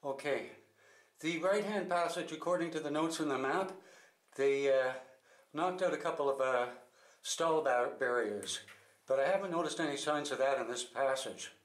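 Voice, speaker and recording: A man's voice: fairly high pitch, normal speed. A man leading a caving trip, talking casually as he explores inside a cave. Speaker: cave expedition leader. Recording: echoey cave, audible background noise.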